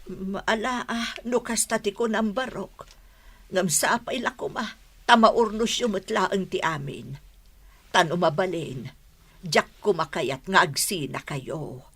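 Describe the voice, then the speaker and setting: A woman with an Ilocano accent playing a worried, pleading mother in a radio drama, in a husky voice. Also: mid-range voice, a little slow, fairly bright tone. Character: pleading elderly mother, anxious to mend her son's marriage.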